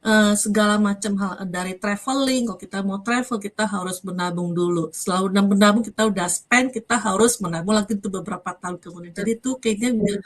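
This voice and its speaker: A female host with an Indonesian accent chats in a medium-pitched voice. She mixes English words into her speech and often repeats or rephrases herself in a halting way. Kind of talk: live talk, casual chat mixing in English.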